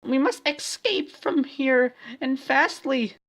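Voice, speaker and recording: A man voicing an excitable young female student scientist in a parody story, melodramatic and exclaiming in a strained high voice. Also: slow pace, exaggerated voice. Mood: frantic, shouting. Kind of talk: parody fan fiction character voice.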